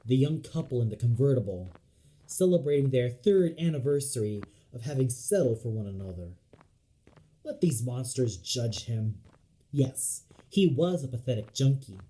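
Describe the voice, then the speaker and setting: A man's voice: mid-range voice, expressive, moderate pace, mellow, rough recording. A man reading dramatic scripted narration for an audio play. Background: music.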